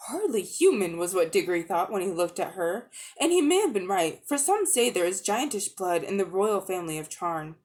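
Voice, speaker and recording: A woman reading a children's fantasy story aloud as a dramatic narration. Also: mid-range voice. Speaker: audiobook reader.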